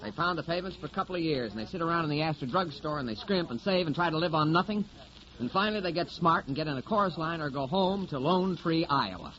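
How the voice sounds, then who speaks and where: High-pitched, brusque American showbiz agent. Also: male voice, lively intonation, fast, bright, forceful tone. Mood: cynical, blunt. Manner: blunt, slangy, exasperated. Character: brusque, wisecracking, worldly male theatrical talent agent. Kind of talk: 1940s radio drama acting. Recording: muffled noisy old radio broadcast.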